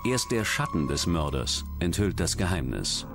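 Male documentary narrator for true crime, low voice with animated intonation. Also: normal speed, loud music behind the voice.

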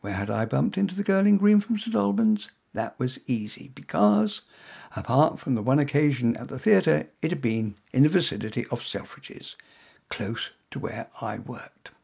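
A man's voice: mid-range voice, sing-song, moderate pace, gravelly, a little mellow, slightly rough. A man reading his own first-person short story in a wry, self-mocking way.

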